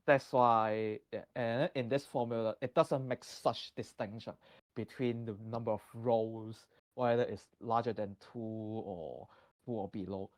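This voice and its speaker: A man with a Hong Kong accent presenting a math lesson from slides, halting and full of restarts. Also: fairly low pitch, steady tone, dry recording. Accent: Hong Kong English. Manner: halting, many restarts and fillers. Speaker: guest presenter.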